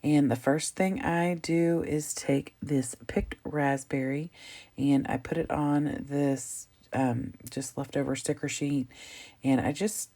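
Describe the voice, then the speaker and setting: Low mellow lady walking thru her scrapbook page, kinda froggy throat, rambly and chatty. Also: fairly even intonation, takes it slowly. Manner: chatty, rambling, with frequent ums and self-corrections. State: slightly hoarse, froggy throat from allergies. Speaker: craft video host. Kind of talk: casual narration of a scrapbooking process.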